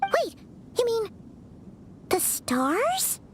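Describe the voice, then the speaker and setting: A woman voicing a small, chirpy, naive childlike fairy companion with a squeaky voice in a video game. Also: wildly swooping pitch, extremely slow, slight background noise, exaggerated voice. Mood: anxious. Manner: refers to self in third person, exclaiming. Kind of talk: video game character acting. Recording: game music behind the voice. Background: background music.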